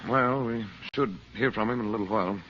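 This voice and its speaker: An actor playing a commanding, earnest heroic uncle in an old radio serial. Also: male voice, lowish voice, quick pace, fairly bright tone, natural voice. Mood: grave, explaining. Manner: firm, take-charge, earnest. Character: brisk, commanding heroic uncle, magician adventurer. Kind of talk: 1930s-40s radio serial acting. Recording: muffled old radio recording, faint hiss.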